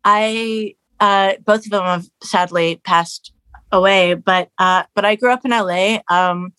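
A woman's voice: mid-range voice, unhurried, clean tone, no echo. A middle-aged woman from Canada who grew up in Los Angeles, a musician talking casually in an interview, full of restarts and fillers. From Canada, raised in Los Angeles. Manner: animated, many restarts and fillers, quotes others in raised voice. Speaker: guest musician. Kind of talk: conversational interview.

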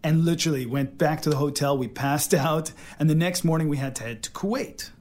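American male co-host, a bit higher voice, emphatic and earnest. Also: brisk, darkish tone. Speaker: podcast co-host. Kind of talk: casual chat.

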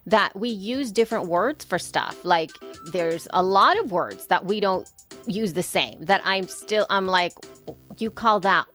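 A podcaster woman from El Salvador holds forth in relaxed conversation. She talks animatedly, acting out little quoted exchanges and restarting her sentences as she thinks. Faint music sits under her voice. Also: medium pitch, unhurried. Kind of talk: casual conversation.

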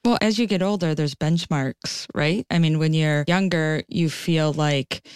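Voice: mid-range voice, a little slow, clean tone, no echo, clean studio sound. A co-host on a morning talk show, chatting in a reflective, conversational way. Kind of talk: casual chat.

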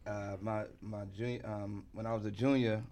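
A middle-aged man speaking as a guest in a sports interview, often pausing mid-thought. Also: lowish voice, flat delivery, darkish tone. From New York City, United States. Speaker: interview guest, basketball team insider and former coach.

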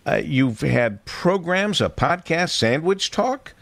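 Male radio host, animated pitch, dry close studio sound. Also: creaky, no room sound. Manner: measured, introducing the guest. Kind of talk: radio interview. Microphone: studio mic.

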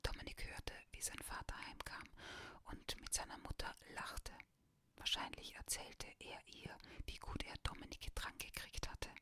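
Woman, whispered ASMR storytelling, bright tone, unhurried. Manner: soft steady whisper throughout. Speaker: reader.

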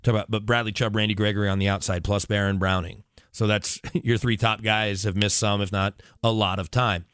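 A man's voice: lowish voice, level tone, a little fast, fairly bright tone, clear, resonant tone, no room sound, clean studio sound. A man talking as a sports radio analyst in casual conversation, opinionated and emphatic, often digressing as he makes his points.

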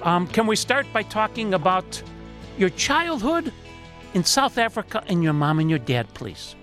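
A man hosts a radio interview show. His voice is high and very animated in pitch, and he presents his guest with deliberate emphasis. Faint music plays behind him.

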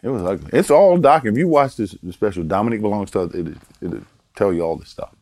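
Older guest from Baltimore, deep voice, easygoing anecdotes, dry recording. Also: male voice, fast, no room sound. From Baltimore, Maryland. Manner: relaxed storyteller, chuckles, repeats words for emphasis. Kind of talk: casual storytelling interview.

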